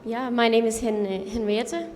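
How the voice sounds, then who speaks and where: A woman's voice: on the high side, fairly even intonation, measured pace, darkish tone. A young woman from Denmark introducing herself to an audience in a plain, earnest way. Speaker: student presenter. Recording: reverberant hall, faint ambience.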